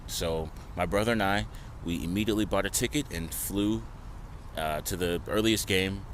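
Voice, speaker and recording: A man's voice: low-pitched, moderate pace, a little hiss or hum. An American man answering a street interview with confident enthusiasm. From United States. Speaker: interviewee, trader. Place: outdoors on a city street.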